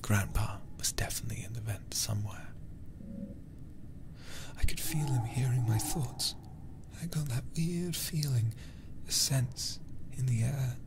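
A man voicing a twitchy, eerie child devoted to grandpa, in a hushed, halting horror-game narration. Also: medium pitch, vocal fry, background noise. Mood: uneasy, eerie.

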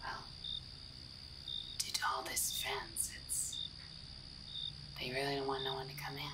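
A woman's voice: extremely low pitch, flat delivery, measured pace, distant mic, heavy background noise. A woman voicing a playful, daring young explorer in a whispered, teasing ASMR roleplay. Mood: teasing, conspiratorial.

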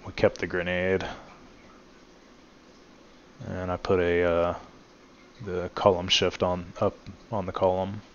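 Male host, deep and even delivery, relaxed filler-heavy garage walkaround, dull phone-recorded sound. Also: slow, pauses often, slight background noise. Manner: relaxed, many "uh" fillers, addresses viewers as guys. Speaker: channel host showing his truck restoration. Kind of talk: casual walkaround narration. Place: home garage.

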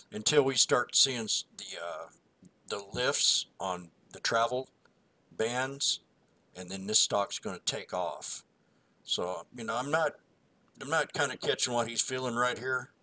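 Bright-voiced man talking through stock charts. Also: on the low side. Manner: rambling, folksy, walks through price levels. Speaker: stock market co-host. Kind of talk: casual chart analysis voice-over.

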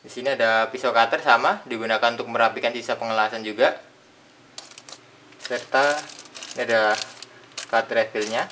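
A young man with a bright, forceful voice walks through a tool's features in a hands-on unboxing demonstration. He speaks in plain steps, pausing between phrases as he handles each part on a tabletop. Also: mid-range voice, steady tone, slight background noise. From Indonesia. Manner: plain step-by-step explaining with pauses. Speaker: product reviewer. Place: indoors at a tabletop.

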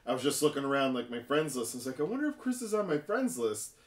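A man of average pitch talks loosely and informally as a co-host among friends. He is relaxed about swearing. His voice is recorded with obvious room echo. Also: fast. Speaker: co-host of gaming talk show. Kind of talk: casual chat about video games. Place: small room lined with display cases, indoors. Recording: roomy echo.

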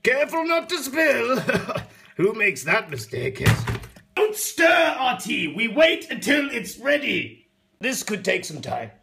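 A man's voice: very high-pitched, wildly swooping pitch, slow pace, gravelly, background noise, heavily acted. Man putting on a pompous rich toff. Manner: pompous, shouty, crude. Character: pompous rich British man with mustache and monocle. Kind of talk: comedy sketch video.

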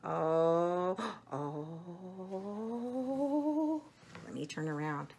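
Slow, creaky middle-aged woman talking to kids. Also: sits low, a little hiss or hum. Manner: slow, animated, talking as to young children. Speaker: storyteller showing children books and eggs. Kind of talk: casual chat to children. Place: small room in a home.

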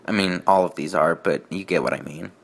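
A man hosting a fan fiction reading with casual, mocking commentary, halting and repeating himself in a sardonic way. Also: deep voice, vocal fry.

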